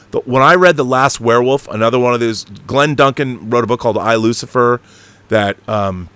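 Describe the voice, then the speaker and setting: A man's voice: mid-range voice, fairly bright tone, dry recording. A man hosting a live stream, reading out viewer comments and giving his opinions in a casual, rambling, conversational way. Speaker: live stream host answering viewer messages.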